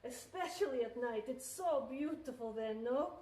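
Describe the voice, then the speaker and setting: A woman voicing a gentle, nostalgic old grandmother with a put-on Russian accent in a filmed stage piece, speaking in a soft, wistful way. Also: fairly high pitch, lively intonation, moderate pace, pitched below the performer's own voice, natural voice. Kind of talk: filmed solo theatre performance. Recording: echoey room, mic far off.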